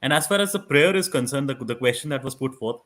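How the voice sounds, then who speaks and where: A man with an Indian accent and a loud voice, explaining things conversationally as a guest expert, often restarting his phrases. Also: mid-range voice, animated, quick pace. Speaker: guest expert on moot court. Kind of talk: conversational advice in an online workshop.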